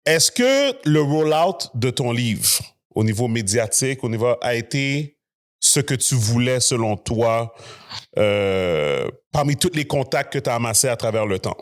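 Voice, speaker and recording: A man's voice: mid-range voice, lively intonation, a little slow. A man, a podcast host talking loosely and chattily, dropping in English slang. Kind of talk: casual conversation.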